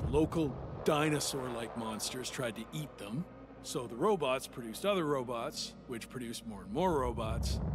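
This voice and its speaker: A man's voice: medium pitch, noisy background, close to an everyday voice. A man playing a doubting, stubborn space engineer in a video game, argumentative in manner. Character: skeptical, stubborn male engineer on a space mission. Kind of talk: dramatic video game dialogue.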